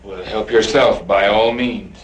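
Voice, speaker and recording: An actor playing a proud, jealous husband in a radio Western, speaking in a stiff, formal, clipped way. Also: male voice, fairly low pitch, fairly even intonation, unhurried, close to an everyday voice, low-quality recording. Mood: indignant, wary. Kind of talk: old-time radio Western drama acting.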